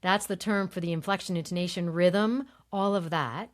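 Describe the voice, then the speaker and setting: A woman voice coach explaining in a relaxed interview, her voice on the higher side, and she often demonstrates tones with it. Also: normal speed. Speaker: voice coach guest. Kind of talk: conversational interview, demonstrating voice techniques.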